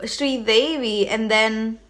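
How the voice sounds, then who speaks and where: A woman's voice: on the high side, steady tone, slow pace, fairly bright tone. A woman hosting a live tarot reading, talking loosely with many fillers and restarts, a cold coming on. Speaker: tarot reader hosting a livestream.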